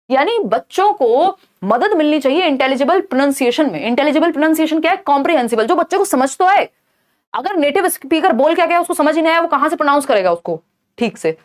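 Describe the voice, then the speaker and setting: Young woman, high-pitched, exam-prep teacher, Hindi-English mix, slow read-aloud of questions. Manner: explains in Hindi mixed with English terms, reads quoted questions slowly.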